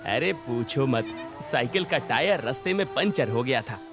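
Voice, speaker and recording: A man's voice: mid-range voice, expressive, moderate pace, gravelly, over music. A man voicing a greedy, cunning village milkman who pretends to be simple and poor in a children's cartoon story, with exaggerated folksy delivery. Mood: sly, gloating. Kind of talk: animated children's moral story dialogue.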